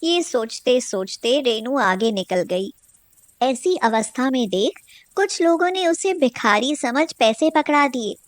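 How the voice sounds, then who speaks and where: A woman's voice: sits high, expressive, takes it slowly, no echo. A woman reading a scripted story as a storyteller. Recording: music behind the voice.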